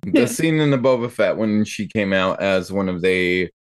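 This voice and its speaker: A man's voice: on the low side, expressive, normal speed. A man chatting casually as a player on a podcast panel. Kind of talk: casual chat.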